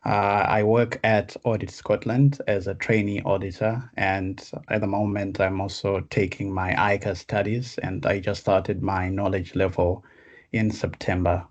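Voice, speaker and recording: Low-voiced man from Zimbabwe, even intonation, audio-only call. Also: measured pace, muffled recording. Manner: apologetic, upbeat. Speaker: trainee auditor, panelist. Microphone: video call, camera off.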